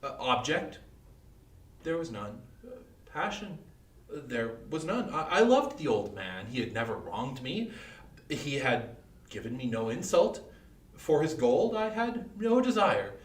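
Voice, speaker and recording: A man playing a nervous, unhinged murderer who insists he is sane, in a halting, stammering, emphatic dramatic horror reading. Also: mid-range voice, sing-song. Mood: controlled, confiding. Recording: echoey room, distant microphone.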